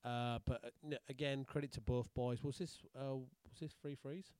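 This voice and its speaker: A middle-aged man from Yorkshire with a Yorkshire accent, co-hosting a podcast in casual chat and telling stories with lively quoted voices. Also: medium pitch, moderate pace, clear, resonant tone, dry recording. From England, Yorkshire. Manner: animated storyteller, acts out quoted voices. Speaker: podcast co-host, fight promoter.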